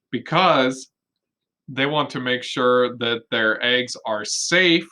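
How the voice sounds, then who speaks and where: A male kindergarten teacher reads aloud to young children in a bright, forward voice. He takes his time, stressing key words and explaining things simply. His delivery is expressive and full of guiding questions. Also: mid-range voice.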